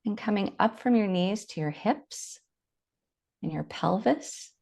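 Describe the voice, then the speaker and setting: A woman leading a guided body scan meditation, giving gentle, unhurried instructions with long pauses. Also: medium pitch, lively intonation, creaky.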